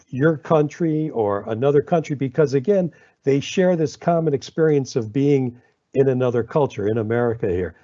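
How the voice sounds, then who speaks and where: A man speaking on a panel in a measured, reflective way, often trailing off softly. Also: mid-range voice, highs cut off. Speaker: panelist who supports international students. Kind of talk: panel discussion.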